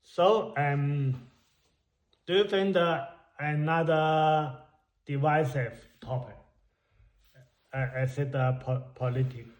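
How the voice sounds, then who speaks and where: A man's voice: on the high side, slow pace, long pauses. A man learning English in a casual lesson, hesitant and searching for words. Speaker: English student. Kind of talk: casual one-on-one language lesson. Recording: roomy, muffled and dull.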